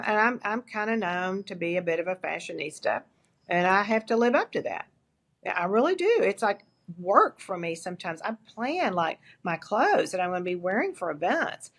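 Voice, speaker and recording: A woman's voice: lowish voice, normal speed. An older woman with an East Texas accent, a guest in an interview, talking candidly and telling stories with lots of restarts. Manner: candid, animated storytelling with frequent restarts. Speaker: guest, agency founder. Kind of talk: interview conversation.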